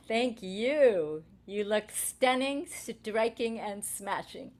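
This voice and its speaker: A woman's voice: a little high, lively intonation, drawn-out words. A woman hosting a concert, heaping florid, alliterative praise on her guest. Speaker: concert host. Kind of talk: effusive host introduction.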